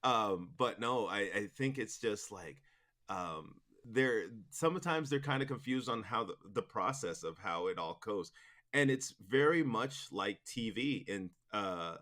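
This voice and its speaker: A man's voice: lowish voice, animated, measured pace, a bit bright. A man talking casually and enthusiastically as an interview guest about his work, rambling in an informal way. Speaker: interview guest, audio drama producer.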